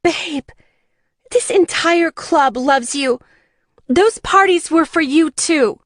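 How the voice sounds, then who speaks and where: Woman acting a high, fierce young biker woman. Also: slow, no room sound, clean studio sound. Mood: exasperated. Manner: loud, confrontational, exasperated. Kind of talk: audiobook character dialogue.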